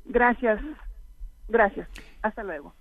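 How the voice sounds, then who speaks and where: A woman's voice: medium pitch, takes it slowly, no echo. Polite woman caller on a phone line. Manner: polite, formal greetings. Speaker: radio caller.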